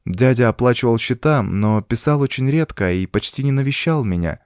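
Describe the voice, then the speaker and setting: A man voicing a frightened, bitter young woman in an audiobook, speaking in a plaintive, soft, emotional way. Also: medium pitch, expressive, normal speed, clean tone, dry recording, studio quality, natural voice. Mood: anxious, confiding. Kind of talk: audiobook character dialogue.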